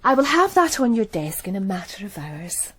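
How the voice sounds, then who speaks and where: An actress playing a cool, efficient, self-possessed young businesswoman in a radio detective drama, with a husky voice. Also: mid-range voice, very animated, moderate pace, fairly bright tone, slight background noise. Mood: cool, businesslike. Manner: cool, composed, precise. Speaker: actor.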